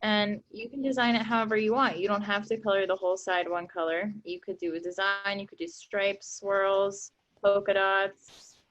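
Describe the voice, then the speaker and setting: A woman's voice: mid-range voice, level tone, normal speed, few pauses, fairly bright tone, muffled recording. A young woman presenting a workshop, cheerfully explaining each craft step as she works. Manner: upbeat, explains steps while working. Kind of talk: instructional craft demonstration.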